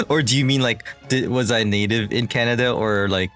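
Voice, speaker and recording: A man streaming a game, playful and giggly, thinking out loud as he goes. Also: medium pitch. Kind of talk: casual gameplay chat.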